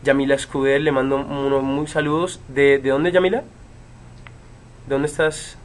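A man in his twenties, from Chile, casually answering fan questions on a livestream from a room at home, relaxed, with many fillers and pauses. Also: medium pitch. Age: 20s. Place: home room with bookshelves, indoors. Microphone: webcam.

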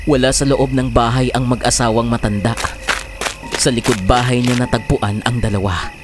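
A man narrates a dramatized horror tale in a mid-pitched voice. Loud music plays right behind him throughout. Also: steady tone, moderate pace. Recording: loud music bed under the voice.

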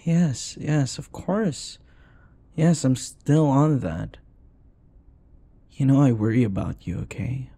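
A man acts out an affectionate young boyfriend in an intimate audio roleplay. His voice is breathy and gravelly, murmured softly and tenderly. He takes his time, teasing his partner in a gentle, comforting way. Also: medium pitch, slow pace, long pauses. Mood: gentle, caring.

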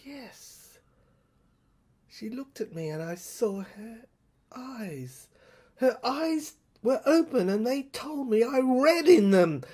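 A woman's voice: on the high side, animated, slow pace, dark tone, slightly rough, exaggerated voice. A woman performing a haunted, agitated narrator in an audio drama monologue, stammering and repeating words. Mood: entranced, haunted. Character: haunted, agitated narrator recalling an uncanny asylum.

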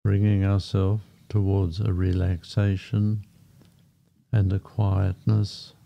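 A man guiding a meditation in a calm, unhurried manner, speaking in short phrases with long pauses between them. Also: low-pitched, gravelly. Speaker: meditation guide. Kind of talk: guided meditation narration. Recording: muffled, dull lo-fi sound. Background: background music.

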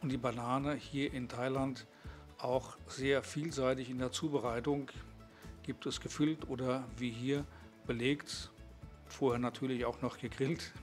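A man's voice: mid-range voice, flat delivery, unhurried, over music. A man, presenting and narrating a vlog. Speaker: vlogger.

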